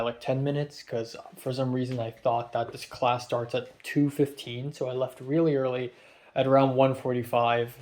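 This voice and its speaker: A young man chatting casually in a vlog, tasting food and recounting his day, his voice a little raspy after a long phone call. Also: mid-range voice. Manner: relaxed, chatty, drifting between soft murmurs and louder remarks. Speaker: vlogger.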